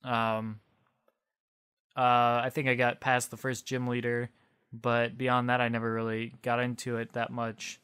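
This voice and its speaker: Smooth, even-toned man chatting casually. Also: mid-range voice, a little slow. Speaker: podcast co-host. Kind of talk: casual conversational chat.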